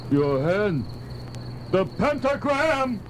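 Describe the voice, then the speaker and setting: Timid, halting servant, slow and noisy. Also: male voice, high-pitched, sing-song, heavily acted. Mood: terrified. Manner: halting, pleading. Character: timid, devoted, clumsy male servant, gentle then terrified. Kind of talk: 1970s audio drama acting.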